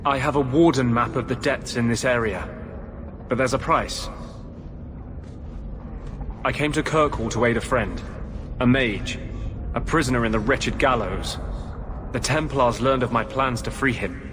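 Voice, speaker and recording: An actor voicing an earnest, defiant mage healer with a measured, intense delivery in a dramatic video game. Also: male voice, medium pitch, noisy background, close to an everyday voice. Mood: earnest, determined. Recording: music behind the voice.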